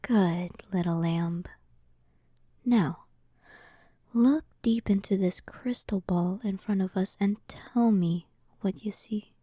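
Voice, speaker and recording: Demon queen for roleplay audio , female performer: dominant, sarcastic, unhurried, dark soft tone, very close and dry. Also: medium pitch, slightly rough, no room sound. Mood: taunting, coaxing. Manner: slow, teasing, condescending. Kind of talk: scripted roleplay audio drama.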